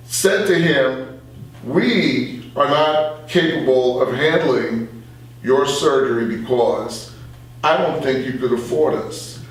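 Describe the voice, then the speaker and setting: An older man chatting casually about his views, opinionated and given to anecdote. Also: mid-range voice. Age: older, 60s or above. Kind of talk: casual political discussion. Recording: echoey room, distant from mic.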